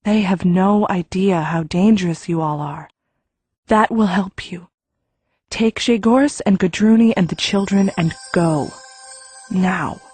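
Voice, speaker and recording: A woman's voice: lowish voice, slow pace, darkish tone, slightly rough, dry recording, faint music behind. A woman playing an injured, stubborn teenage girl mage in an audiobook, blunt and no-nonsense. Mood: stern, urgent. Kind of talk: full-cast audiobook acting.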